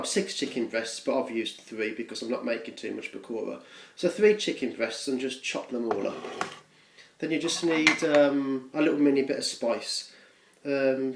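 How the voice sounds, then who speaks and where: A British man giving a casual, unscripted home cooking demonstration, rambling along with offhand, self-deprecating asides. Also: medium pitch. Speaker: home cook presenting a recipe video. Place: home kitchen. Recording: echoey kitchen with frying, clattering noise.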